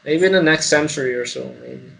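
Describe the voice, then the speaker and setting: A man's voice: mid-range voice, a little fast, vocal fry, fairly bright tone, low-quality recording. A young man co-hosting a podcast in casual banter with friends, crude, joking and slangy. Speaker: podcast co-host.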